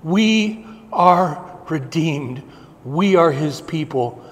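Man, pastor, sermon, slow repeated emphasis, fairly high voice, long church reverb. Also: expressive. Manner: preaching with swelling emphasis and slow repeated phrases. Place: church sanctuary, indoors.

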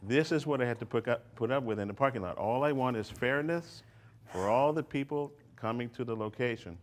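A man defending himself in court, calm, measured and somewhat formal. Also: mid-range voice. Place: TV courtroom set.

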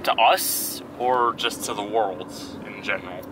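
A man's voice: fairly low pitch, unhurried. A man reasoning out guesses with dry wit during a family car ride. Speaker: family member on a day trip. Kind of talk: casual family chat. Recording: loud noise, voice far from the mic.

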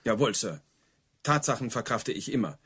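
An actor in a German radio play playing a dutiful, literal-minded police sergeant, clipped, deferential and matter-of-fact. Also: male voice, medium pitch, animated, normal speed, background noise, close to an everyday voice.

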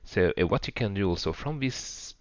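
A man's voice: on the low side, expressive, normal speed, no echo. A middle-aged man with a French accent, a native French speaker, narrating a screen-recorded tech demo with eager, rambling enthusiasm. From France. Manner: enthusiastic, loose and rambling with restarts. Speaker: web developer demonstrating software.

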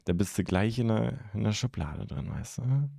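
A young man from Germany hosting a live stream, chatting casually and haltingly as he answers viewer comments into a close microphone. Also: on the low side, animated, creaky, warm tone, no room sound. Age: young adult. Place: indoor streaming room at home. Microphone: close microphone on a stand.

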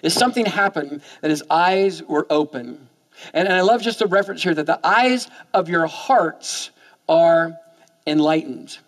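A man in his forties preaching a sermon on a church stage, in an animated, conversational way that often repeats words as he starts a sentence. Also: a little high, a little slow. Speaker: pastor. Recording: long hall reverb.

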